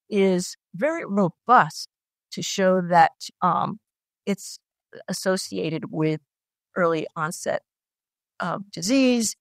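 Middle-aged woman scientist, halting delivery, lower voice, dry recording. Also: no echo. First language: Italian. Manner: hesitant, many fillers and restarts. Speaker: scientist guest. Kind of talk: explanatory interview answers.

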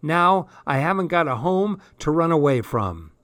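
A man reading aloud and voicing a frail eighteen-year-old tramp boy with a husky voice. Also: fairly high pitch, big pitch swings, measured pace, fairly bright tone, no room sound, pitched above the performer's own voice. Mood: knowing.

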